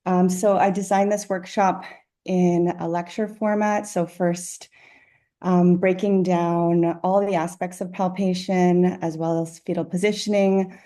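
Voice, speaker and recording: A woman's voice: lowish voice, flat delivery, unhurried, dark tone. A woman, a midwife, enthusiastically presenting her workshop over a video call. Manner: enthusiastic, upbeat. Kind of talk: casual presentation.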